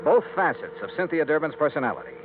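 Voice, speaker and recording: An actor playing a wry, hard-boiled insurance investigator who narrates dryly and sardonically in 1950s radio noir style. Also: male voice, a little high, expressive, fast talker, slight background noise, lightly acted. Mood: wry, brooding. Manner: dry, sardonic, clipped. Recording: old radio broadcast, tinny, music behind.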